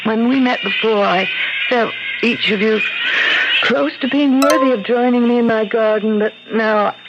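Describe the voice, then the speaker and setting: Mysterious garden guardian, female actor, measured and solemn, old radio drama, muffled sound. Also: a little high, heavy background noise, lightly acted. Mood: regretful, firm. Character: enchanting, aloof female guardian of a magical garden.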